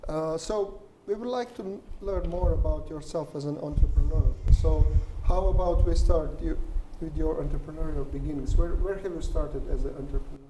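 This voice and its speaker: Host guy interviewing a speaker, slightly high voice, stumbles over words, noisy background. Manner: halting, with restarts. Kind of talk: interview questions. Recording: noticeable background noise.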